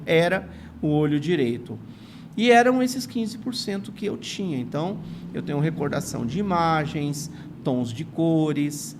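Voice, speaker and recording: Earnest gravelly man from São Paulo. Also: a little high, noisy background. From São Paulo, Brazil. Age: 40s. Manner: earnest, grateful, many "não é" tags. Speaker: guest, retired teacher and writer. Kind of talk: reflective storytelling in an interview.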